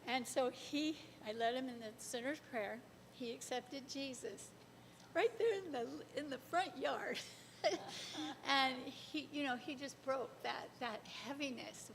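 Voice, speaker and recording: A middle-aged woman from the congregation telling a personal faith story to a church group as a lively storyteller. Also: unhurried. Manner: lively storyteller, laughs between lines. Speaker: congregation member sharing testimony. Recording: far off in a large echoing hall, faint rumble.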